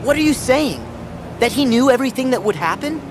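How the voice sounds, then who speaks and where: An actor playing an earnest, defiant teenage boy hero in a game, emotional and quick to burst out in confusion. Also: very high voice, lively intonation, background noise. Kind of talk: video game cutscene acting. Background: game soundtrack music.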